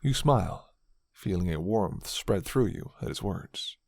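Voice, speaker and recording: Male storyteller for scripted ASMR roleplay: fairly deep, fry in the tone, very dry recording. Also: expressive, unhurried, darkish tone, no echo. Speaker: narrator. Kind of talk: scripted second-person ASMR story narration.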